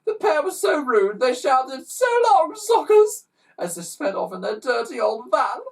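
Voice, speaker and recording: A storyteller doing a bunch of posh schoolchildren, boys and girls, who wail and blubber in high, tearful voices that swing wildly up and down. They sound a little distant from the mic, as in a read-aloud children's storybook. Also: wildly swooping pitch, normal speed.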